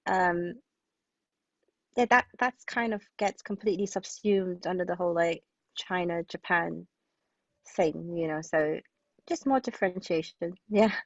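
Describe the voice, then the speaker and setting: A woman from Hong Kong speaking as an interview guest, thoughtfully, feeling her way through halting, repeated phrases. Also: fairly low pitch, no room sound, dull audio. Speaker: guest, playwright and historian. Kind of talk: thoughtful podcast interview conversation.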